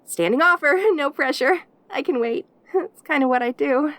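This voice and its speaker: Woman playing a high-voiced, perky lonely demon girl. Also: moderate pace, fairly bright tone, no echo. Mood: coaxing, hopeful. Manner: rambling, stammering, eager, giggly. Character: perky, lonely caged demon girl, clingy and sweetly chatty. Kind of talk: audio roleplay acting, addressing the listener intimately.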